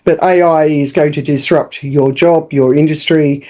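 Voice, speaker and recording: A woman with an Australian accent, a founder speaking as a podcast guest in a frank, lively, conversational way. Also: low voice, moderate pace. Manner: lively and frank, with frequent restarts and fillers. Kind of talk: casual interview talk.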